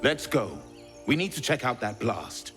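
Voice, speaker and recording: A man's voice: medium pitch, big pitch swings, unhurried, fairly bright tone, soft background music. A man playing a blunt, wary scout in a fantasy video game, speaking in terse, plain phrases. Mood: brisk. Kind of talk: video game dialogue acting.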